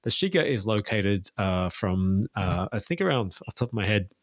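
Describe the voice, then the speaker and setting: A man's voice: lowish voice, sing-song, normal speed, dry recording, studio quality. A man who works as a tour guide, talking as a guest in a casual interview and giving detailed, enthusiastic recommendations.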